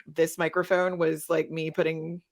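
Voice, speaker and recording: A woman speaks casually as a panelist. Her voice is notably low for a woman, with a lot of creaky fry in it. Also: flat delivery, moderate pace. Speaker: panelist, audio editor.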